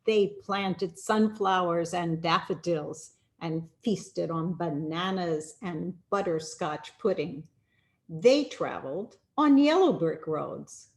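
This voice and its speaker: A woman reading a children's picture book aloud in an expressive, deliberate storytelling manner with dramatic pauses. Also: lowish voice. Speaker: storyteller, rabbi.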